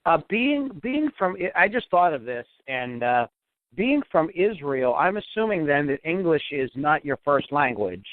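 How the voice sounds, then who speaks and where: A male podcast host with a slightly higher voice, who loops through his questions and restarts them before landing. The audio is thin and phone-like. It is dry, with no room sound. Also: big pitch swings, a little slow, no echo. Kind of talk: casual interview questions. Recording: tinny phone line, dry.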